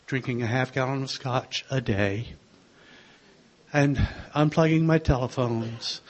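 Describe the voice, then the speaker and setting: Soft-voiced older man, wry storyteller. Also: medium pitch. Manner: gentle, wry storytelling with small laughs. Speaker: speaker sharing a recovery story. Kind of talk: personal testimony to a group.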